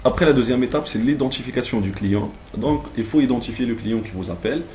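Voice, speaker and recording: A man's voice: mid-range voice, quick pace, darkish tone, a little hiss or hum. A man giving an instructional training talk, explaining step by step in a didactic, semi-improvised way. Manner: didactic, step-by-step, checks understanding. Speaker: trainer teaching call-centre phone technique.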